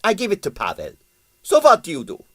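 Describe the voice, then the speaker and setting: A man's voice: high voice, huge pitch swings, fairly quick, pushed and bright, voice pushed up, heavily acted. A man voicing a blustering, evasive Russian ballet impresario in broken English with a Russian accent, in a storybook reading. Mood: defiant, hopeful.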